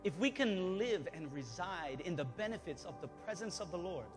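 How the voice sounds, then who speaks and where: A man's voice: high voice, expressive, normal speed. A man in his thirties preaching a sermon in a fervent, exhorting way from a church stage. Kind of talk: sermon with pauses for interpreter. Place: church stage before a congregation. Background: worship music.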